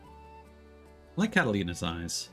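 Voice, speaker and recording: A man's voice: low-pitched, wildly swooping pitch, slow, pushed and bright. A man doing casual live game commentary, thinking aloud into a streaming mic with game music behind him. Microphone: streaming microphone with headphones.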